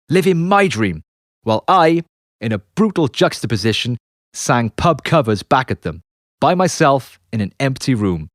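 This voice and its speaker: A man reading his own memoir as an audiobook, in an expressive, confessional storytelling manner with dramatic pauses. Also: mid-range voice, no echo, studio quality. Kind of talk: scripted memoir narration. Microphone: close, dry studio narration mic.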